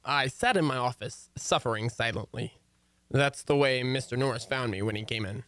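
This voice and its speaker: A man's voice: mid-range voice, moderate pace, a bit bright, close to an everyday voice. A man playing an exasperated, sardonic husband who narrates his own marital troubles in an old-time radio comedy. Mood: wry narrating.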